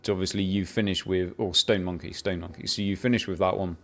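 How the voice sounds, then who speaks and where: A man chatting casually about a video game he has been playing, rambling with restarts and the odd swear, building into excited bursts. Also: low voice, quick pace. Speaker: gaming video creator. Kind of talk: casual unscripted game talk to camera.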